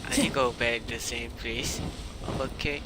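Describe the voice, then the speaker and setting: A man who is not a native English speaker, chatting haltingly in broken English while live-streaming a game. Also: steady tone. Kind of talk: casual live-stream gameplay chat. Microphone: uncovered microphone without windscreen. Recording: windy blown mic, loud rumbling noise.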